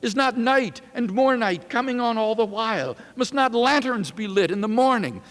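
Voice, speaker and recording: A man's voice: extremely high pitch, animated, moderate pace, a bit bright, voice pushed up. A man voicing an anguished, accusing madman with declamatory, urgent delivery in a dramatic reading. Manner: declamatory, urgent rhetorical questions. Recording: reverberant lecture hall.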